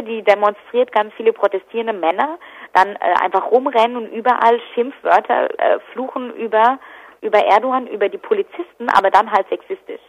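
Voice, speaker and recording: A woman giving spontaneous interview answers as an activist. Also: mid-range voice. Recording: dull, lo-fi telephone line.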